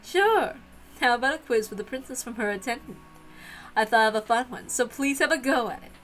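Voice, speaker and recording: A woman's voice: fairly high pitch, lively intonation, a little fast, fairly bright tone, soft background music, rough recording. A woman voicing a cheerful, encouraging aunt in a visual novel read-aloud, playful and reassuring.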